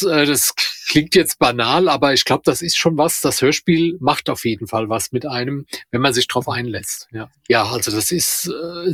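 A man's voice: mid-range voice, fairly bright tone, husky, no echo, clean studio sound. A man in a casual, reflective discussion as a podcast co-host, speaking hesitantly and searching for words.

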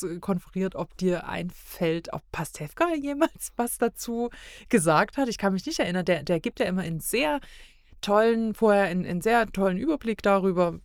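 A woman's voice: medium pitch, dry recording, clean studio sound. A middle-aged woman talking casually as a podcast guest, often hesitating and searching for words. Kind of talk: casual conversational discussion.

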